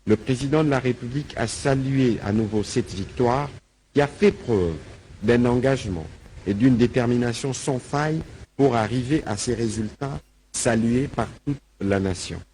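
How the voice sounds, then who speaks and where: Mellow man reading an official report. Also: medium pitch, normal speed, rough recording. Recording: faint background noise and ambience.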